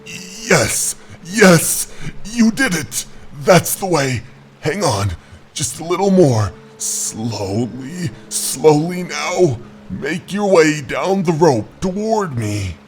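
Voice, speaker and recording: A man's voice: fairly high pitch, big pitch swings, a little hiss or hum, pitched above the performer's own voice, full character voice. A man playing a desperate, aging husband obsessed with rescuing his lost wife, in a dramatic horror manga dub. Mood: frantic, pleading. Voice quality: gravelly, husky. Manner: dramatic, halting monologue. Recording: voice over background music.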